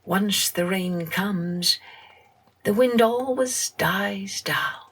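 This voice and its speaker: A woman narrating scripted children's folktales as a storyteller, in an expressive, measured way. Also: medium pitch, slightly rough. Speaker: storyteller, podcast host.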